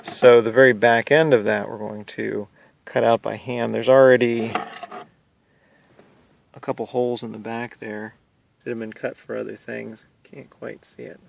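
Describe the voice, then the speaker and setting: Casual man with a gravelly, creaky voice. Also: mid-range voice, flat delivery, normal speed, noisy background. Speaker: hobbyist demonstrating a DIY car install. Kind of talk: casual how-to narration.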